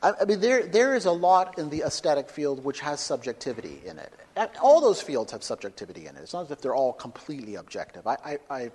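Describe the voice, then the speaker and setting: A man giving a public lecture and taking questions, speaking emphatically and building to loud, forceful peaks. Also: a little high, big pitch swings, fast, hardly any pauses, breathy edge. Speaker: speaker at a talk. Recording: reverberant hall.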